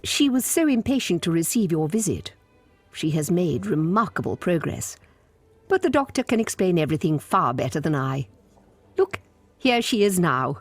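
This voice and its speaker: A helpful, deferential clinic receptionist for a video game. Her voice is on the low side with very lively melody, formal in manner, over soft music. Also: moderate pace. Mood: polite, reassuring.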